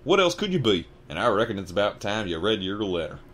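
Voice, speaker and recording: A man voicing a huge, gruff half-giant groundskeeper who talks loud and raised, with rough rustic speech. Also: fairly low pitch, very animated, fast talker. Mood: gruff hearty.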